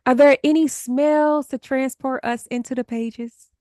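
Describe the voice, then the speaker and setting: A woman's voice: high-pitched, steady tone, a little slow, warm tone, no room sound, studio quality. A woman hosting a book podcast in a conversational way, putting questions to a guest and addressing listeners. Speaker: podcast host.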